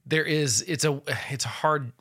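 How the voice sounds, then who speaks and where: A man hosting a casual music podcast, rambling and restarting as he tells animated stories. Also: a little high, normal speed. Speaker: podcast host. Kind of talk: casual chat about music.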